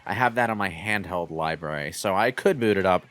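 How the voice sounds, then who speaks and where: A man's voice: sits low, a little fast, a bit bright. A man chatting casually as a video game commentator.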